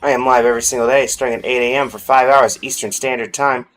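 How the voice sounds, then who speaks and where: A man's voice: mid-range voice, steady tone, fast, a bit bright, poor audio. A man doing casual, chatty livestream commentary on a video game, speaking directly to his viewers. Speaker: live streamer.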